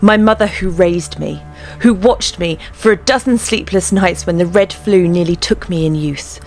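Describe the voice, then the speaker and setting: A woman's voice: on the low side, soft background music, close to an everyday voice. A woman playing a proud, resolute young warrior queen in a fantasy fandub, speaking firmly and solemnly. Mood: grieving anger. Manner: firm, solemn, deliberate. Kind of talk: fantasy audio drama fandub acting.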